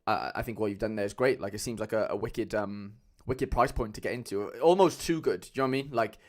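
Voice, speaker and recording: A young man with a Cardiff accent chatting casually as a livestream host, loose and rambling with lots of fillers, speaking into a mic on a boom arm at home. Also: fast talker. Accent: Cardiff Welsh. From Wales. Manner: chatty, loose, many fillers, calls viewers lads.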